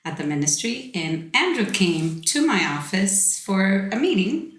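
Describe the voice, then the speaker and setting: A woman's voice: medium pitch, very animated, a little slow, roomy sound. Candid woman from Costa Rica. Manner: candid, laughs easily. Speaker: podcast guest. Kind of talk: casual interview chat.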